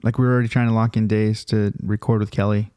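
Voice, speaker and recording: A man's voice: on the low side, level tone, fast, mellow, smooth, dry recording. Young man chatting, dry close sound. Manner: slangy, informal. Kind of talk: casual chat.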